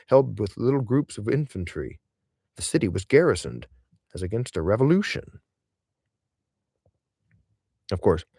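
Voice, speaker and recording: A man's voice: mid-range voice, a little mellow, no room sound, studio quality. A man reading literary essays aloud as an audiobook narrator, with theatrical, emphatic phrasing. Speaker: audiobook reader.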